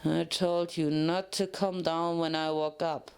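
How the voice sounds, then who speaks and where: A woman's voice: low voice, level tone, a little slow, smooth, pitched below the performer's own voice. A woman voicing an indignant, easily flustered farm pony mare with a folksy way of talking in a dramatic story reading. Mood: grumpy.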